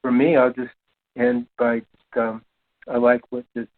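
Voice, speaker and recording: A speaker sharing haltingly and without a script, in broken, hesitant phrases. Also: medium pitch, level tone, narrow, tinny audio.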